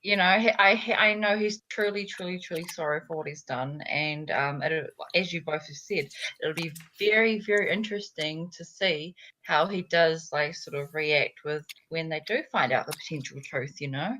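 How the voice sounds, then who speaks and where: A woman with a New Zealand accent co-hosting a fan podcast, giggly and lively. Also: low voice, moderate pace, hardly any pauses, slight background noise, poor audio. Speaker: podcast co-host. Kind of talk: casual fan podcast chat.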